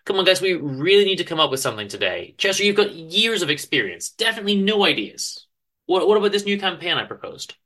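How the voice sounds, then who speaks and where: Story reading: exasperated male boss done by a man, reproachful and halting, slightly high, animated pitch. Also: full character voice. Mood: pressing.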